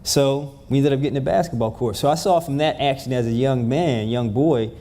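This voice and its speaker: A man in his fifties from New Jersey, a guest telling stories in a casual interview, relaxed and given to repeating words. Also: medium pitch, fairly quick. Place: event room with a live audience.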